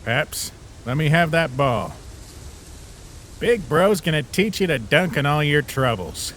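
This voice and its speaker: A man playing a laid-back, wisecracking skeleton big brother in an animated comic dub, with a casual, reassuring manner. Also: fairly high pitch, a little slow, slight background noise. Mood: easygoing, encouraging.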